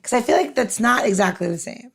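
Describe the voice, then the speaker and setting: A woman's voice: on the high side, lively intonation, fairly quick, creaky, slightly rough. A woman around forty co-hosting a podcast in casual, rambling, enthusiastic chat. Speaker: podcast co-host.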